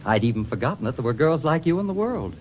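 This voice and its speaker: A man playing a charming, effusive bachelor with a poetic, flattering manner in a 1940s radio drama. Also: fairly high pitch, fast, slight background noise. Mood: charmed. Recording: dull 1940s radio recording.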